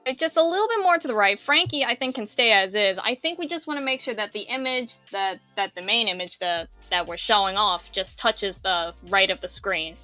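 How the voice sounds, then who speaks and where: A woman's voice: medium pitch, expressive, brisk, few pauses, sharp tone. A woman chatting casually as she guides someone through a tutorial, with music in the background. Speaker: tutor guiding a visual novel project.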